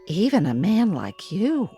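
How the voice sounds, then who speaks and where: An actress in a radio drama playing a sardonic, exasperated working-class wife, talking in brassy slang. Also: female voice, mid-range voice, huge pitch swings, slow pace, dark tone, breathy edge, faint music behind, exaggerated voice. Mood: concerned.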